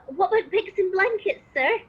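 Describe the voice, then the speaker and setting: Very high-pitched, earnest young boy played by a woman. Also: animated, moderate pace. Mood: tentative. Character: polite, puzzled young street boy. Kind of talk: amateur radio play acting. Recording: muffled lo-fi call audio with noise.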